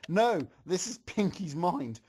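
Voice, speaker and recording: Animated, higher-voiced man reading a comic story. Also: slow, breathy edge. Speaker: reader of a fan story on a group show.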